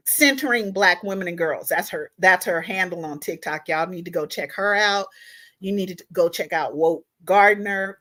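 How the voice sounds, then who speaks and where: A woman's voice: medium pitch, a bit bright. A woman hosting an online talk, speaking emphatically and directly to viewers in an impassioned, informal way. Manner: emphatic, direct address with repeated tag questions. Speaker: online show host.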